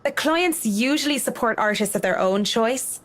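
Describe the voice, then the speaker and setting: An actress playing a reserved, blunt professional woman in a horror visual novel, speaking in a measured, dry way. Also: fairly high pitch, lively intonation, fairly bright tone. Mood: dry, cutting.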